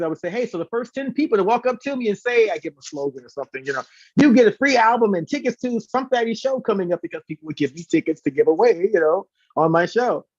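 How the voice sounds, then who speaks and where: A man's voice: high-pitched, no room sound, muffled recording. A man telling stories casually as an interview guest, animatedly acting out the dialogue he quotes. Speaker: singer and former radio host. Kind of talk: casual storytelling.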